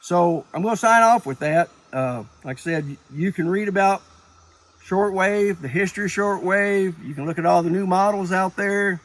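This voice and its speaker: A man's voice: high-pitched, normal speed. A man giving an informal, plain-spoken, rambling preparedness talk to viewers, in a folksy way. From Appalachia, United States. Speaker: preparedness vlogger.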